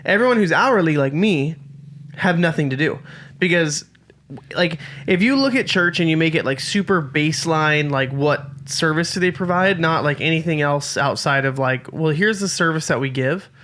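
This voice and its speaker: A man's voice: a little high, animated, normal speed, fairly bright tone, slight background noise. A man in his late twenties co-hosting a casual podcast, speaking with dry, self-deprecating humor. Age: 20s. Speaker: podcast co-host. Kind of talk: casual chat.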